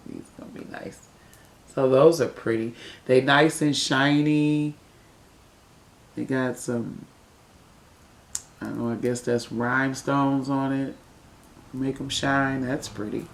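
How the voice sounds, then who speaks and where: A woman casually chatting through an unboxing for her viewers, her voice raspy from a cold as she admires each piece. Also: extremely low pitch, a little hiss or hum. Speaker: unboxing vlogger. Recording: echoey room.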